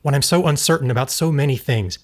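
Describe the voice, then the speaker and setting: Man playing an earnest, troubled man, mid-pitched. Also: close to an everyday voice. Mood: earnest, uneasy. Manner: sincere, intense, halting appeals. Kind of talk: audio drama acting.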